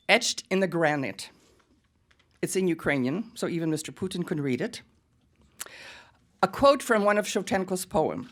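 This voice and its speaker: American woman at a podium mic, lowish voice, earnest and pointed. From United States. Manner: earnest and emphatic, with pointed asides. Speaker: guest speaker at a press event. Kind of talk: prepared speech at a podium. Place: press club hall.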